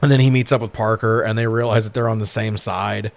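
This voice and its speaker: A man's voice: on the low side, steady tone, fast, gravelly, no echo. Sarcastic man, close dry sound. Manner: profane, sarcastic, exasperated. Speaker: podcast co-host. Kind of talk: casual movie recap banter.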